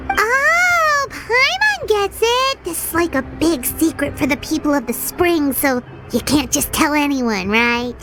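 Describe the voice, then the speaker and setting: A woman voicing a tiny, excitable, childlike fairy companion in a video game, with a squeaky, perky delivery. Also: measured pace. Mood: eager. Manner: perky, exclaiming, speaks of self in third person. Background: music.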